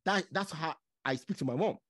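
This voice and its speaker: A man's voice: on the high side, fairly quick, a bit bright, dry recording, studio quality. A man from Nigeria whose first language is Igbo, hosting a podcast, who interrupts, repeats words and keeps checking with "okay?". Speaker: podcast host.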